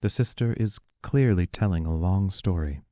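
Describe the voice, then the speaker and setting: A man giving scripted narration of stage directions for an audio play in a measured, matter-of-fact way. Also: deep voice, darkish tone, dry recording, studio quality.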